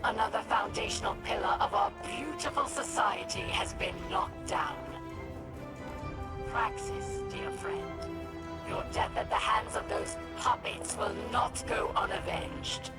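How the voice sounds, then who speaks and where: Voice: mid-range voice, lively intonation, unhurried, over loud music, natural voice. A performer voicing a vengeful, fiery alien warlord in a video game, delivering theatrical, menacing oratory. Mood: mournful, menacing. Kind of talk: video game cutscene speech.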